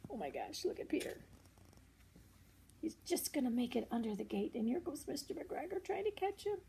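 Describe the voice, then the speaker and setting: An older woman with a warm, relaxed tone reads a children's story aloud. She is expressive, often drops to a hush, and adds simple asides for young listeners. Faint noise sits behind her voice. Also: sits high, moderate pace, vocal fry. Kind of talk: picture-book read-aloud with asides.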